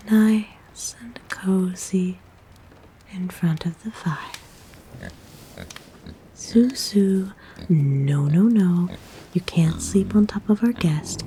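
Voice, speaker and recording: A woman voicing a kind, gentle forest witch in a soft, breathy whisper, soothing and tender, for an ASMR roleplay. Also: lowish voice, slight background noise. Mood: reassuring, then gently chiding.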